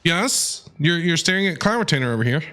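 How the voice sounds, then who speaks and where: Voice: mid-range voice, animated, a little fast, a bit bright. A streamer giving casual, chatty live reactions to a video game, talking back to the characters aloud.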